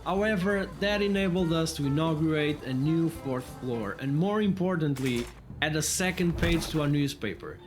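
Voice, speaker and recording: A man's voice: on the high side, expressive, moderate pace. A man reviewing a video game in a scripted, comedic narration with a wry, tongue-in-cheek manner. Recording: music behind the voice. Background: background music.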